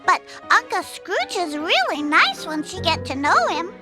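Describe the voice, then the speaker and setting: A naive little cartoon duck girl speaks in a very high, sweet voice. She is earnest and childlike, taking her time, with loud game music behind her. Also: sing-song, measured pace, full character voice. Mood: gentle, earnest. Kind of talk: animated game voice acting. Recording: loud background music under the voice.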